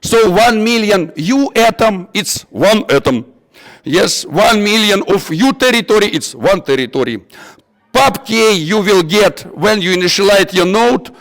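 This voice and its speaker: High-voiced non-native English man, echoey hall. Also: expressive, a bit bright. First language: not English. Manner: halting, repetitive, searching for words. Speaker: workshop instructor. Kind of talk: informal live technical workshop. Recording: echoey hall with long reverb.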